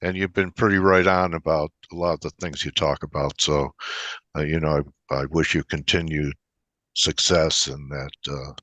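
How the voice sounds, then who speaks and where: A man hosting a casual interview, talking in a wry, conversational and gently teasing way. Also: very deep voice, steady tone, normal speed, dry recording. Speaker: interview host.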